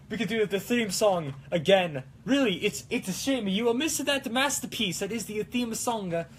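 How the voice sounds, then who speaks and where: A man doing a high, excitable cartoon Italian guy. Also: a little hiss or hum, natural voice. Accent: mock Italian. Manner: exclamatory, playful, sing-song broken English. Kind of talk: live-streamed prop-making vlog in a put-on accent.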